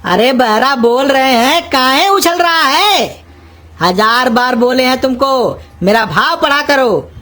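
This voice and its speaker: A woman's voice: high voice, lively intonation, slow, fairly bright tone, slight background noise, heavily acted. A woman voicing a cranky, scolding old cartoon uncle. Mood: exasperated. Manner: gruff, insulting, exasperated scolding. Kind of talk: cartoon comedy dialogue in rustic Hindi.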